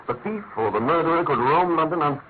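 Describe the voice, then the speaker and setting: Brilliant, restless male detective for a classic radio mystery, played by a man: articulate, quick and lively in pitch, on a narrow-band recording. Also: background noise, natural voice. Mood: bored, restless. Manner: articulate, declamatory, musing. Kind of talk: classic British radio drama acting.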